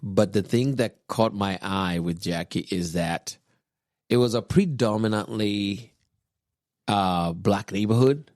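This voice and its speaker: Deep-voiced man telling his story. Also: dry recording, studio quality. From Kenya. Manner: unhurried, reflective, with soft chuckles. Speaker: podcast guest husband, pastor. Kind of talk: personal storytelling on a podcast.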